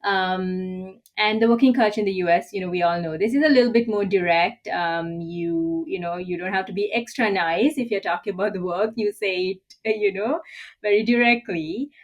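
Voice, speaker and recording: A woman's voice: medium pitch, moderate pace, highs cut off. A middle-aged woman from Sri Lanka, a guest executive coach answering interview questions in a candid, reflective way and often ending points with "right?".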